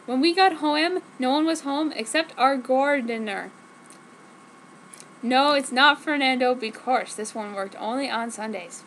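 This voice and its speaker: High-voiced woman reading theatrically over noise. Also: a little slow, fairly bright tone, poor audio. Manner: theatrical, reads misspellings literally. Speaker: reader of fan fiction. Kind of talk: dramatic comic reading of fan fiction.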